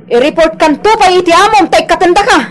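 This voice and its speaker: A woman playing a bossy, quick-tempered grocery owner, scolding and nagging with an Ilocano accent in a radio drama. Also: very high voice. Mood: angry quarrelling.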